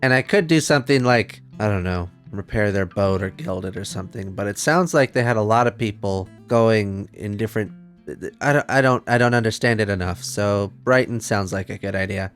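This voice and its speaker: A man playing a tabletop game, improvising casually and thinking out loud as he goes. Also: lowish voice, brisk, soft background music.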